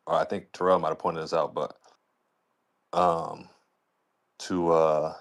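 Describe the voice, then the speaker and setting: Real low voiced guy on a podcast, league commish, loose slangy rambling talk. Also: level tone, slow pace. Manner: colloquial, discursive, frequent "you know". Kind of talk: casual podcast chat.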